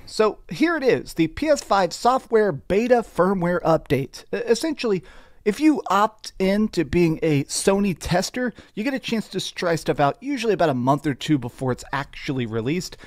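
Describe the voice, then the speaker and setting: A man in his thirties presenting upbeat gaming news, excitable and chatty, close on a studio mic. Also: high voice, normal speed, dry recording. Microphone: close boom-arm studio microphone.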